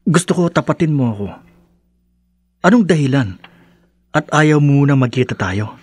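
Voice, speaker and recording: Earnest young boyfriend, dry sound. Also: male voice, fairly high pitch, animated, normal speed, no room sound. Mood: puzzled, insistent. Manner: earnest, gently insistent, polite. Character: earnest, courteous young boyfriend seeking answers. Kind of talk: radio drama acting.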